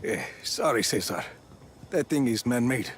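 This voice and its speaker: An actor playing a confident, rugged action hero in a video game. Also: male voice, medium pitch, lively intonation, measured pace, faint music behind, close to an everyday voice. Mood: dry, calm. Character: confident, rugged male action hero, cool-headed operative. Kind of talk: video game cutscene acting.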